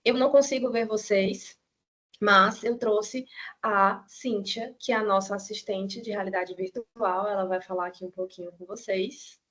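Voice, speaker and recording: A woman's voice: mid-range voice, steady tone, moderate pace, a bit bright. A middle-aged woman with a Ceará accent gives an online nursing lecture in a chatty way, often adding tag questions. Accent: Ceará, Brazil. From Ceará, Brazil. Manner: conversational, many "né" tag questions. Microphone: video call.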